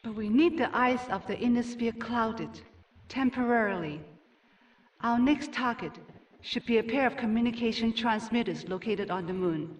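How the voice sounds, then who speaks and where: A woman playing a stern, regal ruling noblewoman and mother in a video game, with a formal, measured, commanding manner. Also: fairly high pitch, far from the mic, dull audio, lightly acted. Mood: cool, commanding. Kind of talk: scripted video game cutscene acting.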